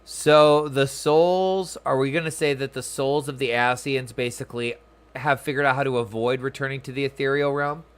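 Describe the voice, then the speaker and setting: A male streamer with a medium-pitched voice gives casual game commentary. He muses aloud and talks informally to his viewers. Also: fairly even intonation, moderate pace, clean tone.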